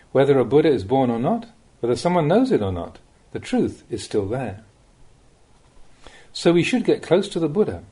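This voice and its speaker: A man reading a Buddhist Dhamma talk aloud as a monk, in the measured, plain-spoken way of a teacher, with rhetorical emphasis. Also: mid-range voice, mellow, slightly rough.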